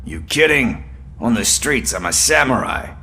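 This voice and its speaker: A man's voice: mid-range voice, lively intonation, unhurried, pushed and bright, a little hiss or hum. An actor playing a wry, easygoing male street informant in a video game, with a playful, theatrical manner. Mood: wry, friendly.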